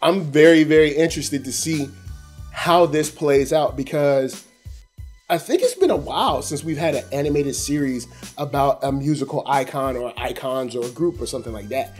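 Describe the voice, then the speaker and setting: A man's voice: medium pitch, normal speed, darkish tone, faint music behind. An American man hosting an animation review show, talking casually and in slang straight to his viewers. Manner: conversational, slangy, addressing viewers directly. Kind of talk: casual review commentary to camera.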